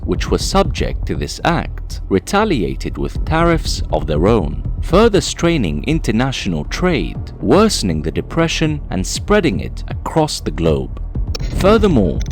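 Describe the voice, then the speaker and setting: Dramatic male narrator with very animated pitch. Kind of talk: scripted documentary narration.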